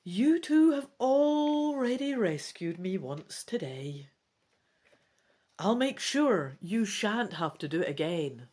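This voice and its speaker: A woman's voice: mid-range voice, very animated, slow, a little mellow. A woman voicing a brave, protective female adventurer in a fantasy storybook, speaking earnestly and emphatically. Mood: determined, caring.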